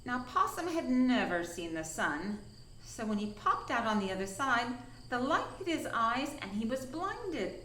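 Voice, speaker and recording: Slow, animated woman reading a children's story. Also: a little high, reverberant, far from the mic, slight background noise, low-quality recording. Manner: dramatic, deliberate pauses and emphasis. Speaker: storyteller from a science museum.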